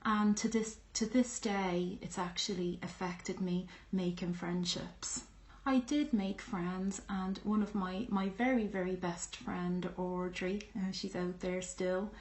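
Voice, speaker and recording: A woman in her fifties with a strong Irish accent, frankly telling her own life story to camera with many pauses and restarts. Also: fairly low pitch. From Ireland. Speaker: vlogger. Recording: echoey room.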